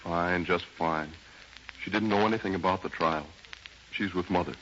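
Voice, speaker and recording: Low-voiced devoted husband. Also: male voice, a bit bright. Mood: gentle reassurance. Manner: tender, solemn, halting. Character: devoted, heartbroken husband, tender and restrained. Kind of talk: 1930s radio drama acting. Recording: dull, hissy 1930s radio recording.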